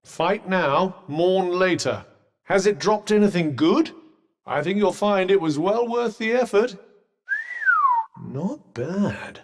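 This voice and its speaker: A man's voice: sits high, lively intonation, takes it slowly, in a large hall, exaggerated voice. An actor voicing a sardonic male magic bracelet companion in a game, with dry, witty, teasing quips. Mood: wry. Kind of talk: game voice lines.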